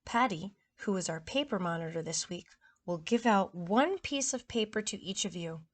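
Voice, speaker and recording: A woman's voice: mid-range voice, animated, moderate pace, lightly acted. Woman acting out strict female schoolteacher, storybook character, firm, bossy, emphatic, instructing a class.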